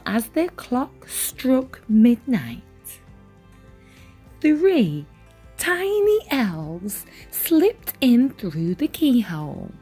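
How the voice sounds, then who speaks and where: A woman reading a children's storybook aloud as storyteller, with deliberate, dramatic emphasis on key words. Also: fairly high pitch, a little mellow, breathy edge. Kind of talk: expressive read-aloud storybook narration.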